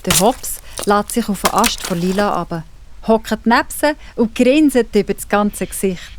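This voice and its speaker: A woman with a Swiss German accent narrating a children's story in an expressive, explanatory manner. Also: medium pitch, moderate pace, slight background noise.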